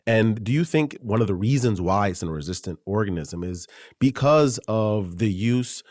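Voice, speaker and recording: A man hosting a medical podcast, asking measured, thoughtful questions. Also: fairly low pitch, expressive, darkish tone, dry recording, studio quality. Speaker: podcast host, physician. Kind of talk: interview questions.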